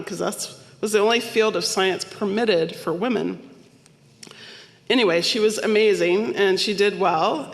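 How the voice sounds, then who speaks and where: A woman's voice: mid-range voice, fairly bright tone. Woman, minister, sermon, chatty storytelling with asides, long reverb from a big hall. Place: church sanctuary.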